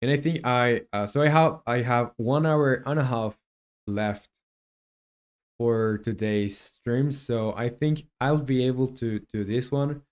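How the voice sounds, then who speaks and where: Man, mid-pitched voice, indie developer streaming his coding, thinking aloud, casual. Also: slow pace. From Chile. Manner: muses aloud while coding, chats with viewers.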